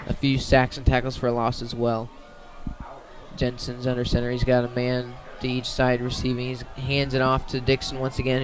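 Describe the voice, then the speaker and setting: A man giving radio-style play-by-play commentary on a football game. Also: medium pitch.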